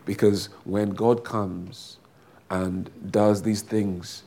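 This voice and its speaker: A man preaching with a big booming voice that sits very low and stays flat, with little rise and fall. He prays and preaches slowly and fervently, stretching out his phrases and repeating them. A faint hum of room ambience sits behind him. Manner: slow, drawn-out, fervent delivery with repeated phrases. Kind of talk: sermon with prayer.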